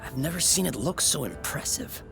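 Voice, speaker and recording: A man's voice: a little high, animated, normal speed, vocal fry, a bit bright, faint music behind, exaggerated voice. A man playing an easygoing, reassuring young man in a video game, speaking casually and earnestly with a tentative, hopeful touch. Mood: thoughtful. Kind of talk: video game cutscene acting.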